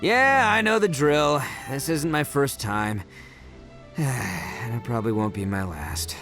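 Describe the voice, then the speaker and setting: A man's voice: medium pitch, animated, slow pace, music in the background. A man playing a brash, big-hearted young oni gang boss in a video game, with cocky, slangy, theatrical delivery. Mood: sheepish.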